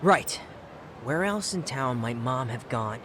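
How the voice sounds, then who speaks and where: A man's voice: mid-range voice, animated, slow pace, noisy background. An actor playing an easygoing young man, a detective's junior partner, talking casually and a little hesitantly in a video game cutscene. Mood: tentative, concerned. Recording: studio voice with music behind.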